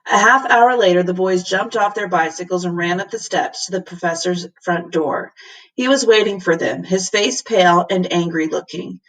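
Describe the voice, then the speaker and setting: A woman reading a children's storybook aloud as a teacher would to a class, in expressive narration. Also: on the low side, moderate pace, far from the mic, poor audio.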